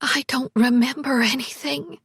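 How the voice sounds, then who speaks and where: A frail elderly grandmother drifting toward sleep, voiced by a woman narrator, soft and slightly testy. Her voice is husky and breathy. The recording is close and dry, with no room sound. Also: sits high, steady tone, normal speed, no echo, exaggerated voice. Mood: drowsy.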